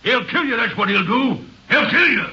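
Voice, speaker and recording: An actor playing a gruff, defiant old backwoods father, curt and hostile, in a 1950s radio drama. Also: very high-pitched, big pitch swings, normal speed, over loud music. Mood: angry. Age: older. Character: surly, defiant old backwoods father shielding his son. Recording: muffled, noisy vintage radio recording.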